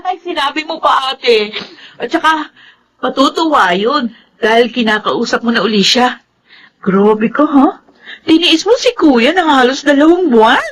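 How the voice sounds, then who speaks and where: A caring, outspoken younger sister in a Filipino radio drama. Her Filipino-accented voice is fairly high, with slight noise behind it. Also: expressive, measured pace, fairly bright tone, rough recording. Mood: chiding, caring.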